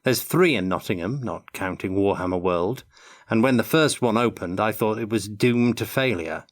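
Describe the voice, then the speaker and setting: Older man with a lowish voice. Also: normal speed. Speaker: interview guest, tabletop game designer. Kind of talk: prepared answers in a Q&A.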